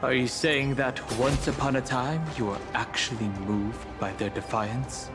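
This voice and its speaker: A man plays a guarded fantasy game hero of noble dragon lineage. His mid-pitched voice is controlled and terse, proud and distrustful, with music clearly behind it. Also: level tone, a little slow, close to an everyday voice. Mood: suspicious. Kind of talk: scripted video game dialogue.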